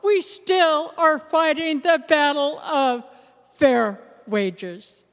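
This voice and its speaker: A woman's voice: high-pitched, expressive, very slow. An American woman giving a prepared personal statement as a readers theater performer. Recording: reverberant hall recording.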